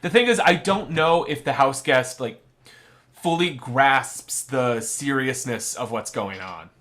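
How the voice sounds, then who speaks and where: A man's voice: medium pitch, takes it slowly, fairly bright tone. An actor playing a fussy radio director who stammers and restarts as he tries to keep the peace. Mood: placating. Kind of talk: audio drama acting.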